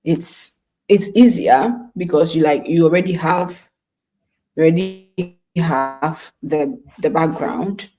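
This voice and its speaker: A woman's voice: fairly low pitch, mellow, low-quality recording. A woman in her thirties from Ghana, co-hosting a casual chat with her sister, teasing her and restarting her sentences often. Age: 30s. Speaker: podcast co-host.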